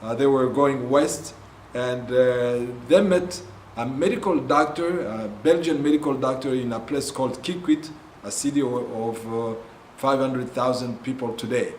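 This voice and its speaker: A man from Congo with a Congolese accent, telling his ministry's story in a halting way with many pauses and fillers. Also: medium pitch, darkish tone, reverberant, slight background noise, poor audio. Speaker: ministry founder. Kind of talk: earnest spoken testimony.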